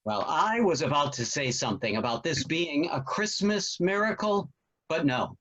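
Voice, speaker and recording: A man's voice: fairly high pitch, expressive, normal speed, fairly bright tone. A man acting in a play reading as an older father, skeptical but supportive, with a dry, jocular manner. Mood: jovial, wry. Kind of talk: virtual stage play reading. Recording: dull, lo-fi call audio.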